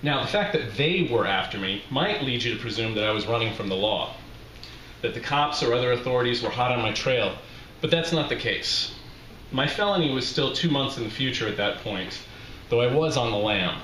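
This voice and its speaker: A man's voice: medium pitch, lively intonation, a little fast, pushed and bright. A middle-aged American man from Utah at a microphone, reading a personal essay to a live room in a wry, self-deprecating way. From Utah, USA. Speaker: reading series host, memoirist. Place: small indoor bar room with brick walls. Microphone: handheld-style microphone on stand, close. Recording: roomy live venue, background noise.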